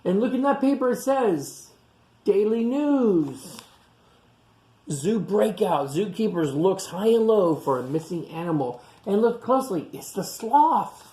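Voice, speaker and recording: A man reading a picture book aloud to young children, pausing for simple explanations and questions. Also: sits high, lively intonation, mellow. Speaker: storytime reader for young children. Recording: echoey room sound.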